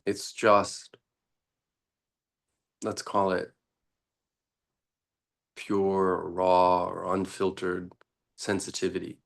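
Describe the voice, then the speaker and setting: A man teaching meditation in an unscripted, deliberate way, pausing often between short phrases. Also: deep voice, monotone, creaky, fairly bright tone.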